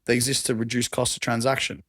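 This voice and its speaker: Raspy aussie guy chatting on a podcast, gets excited, laughs a lot. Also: mid-range voice, quick pace, dry recording, studio quality. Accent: Australian. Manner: enthusiastic, conversational, laughs often. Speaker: podcast guest. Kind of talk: casual chat.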